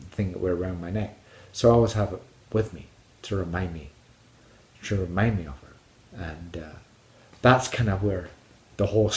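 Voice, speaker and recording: A man from Scotland who vlogs about hiking, telling personal stories casually to his viewers in a rambling, halting way that often repeats phrases. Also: lowish voice, creaky. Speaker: hiking vlogger. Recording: echoey room.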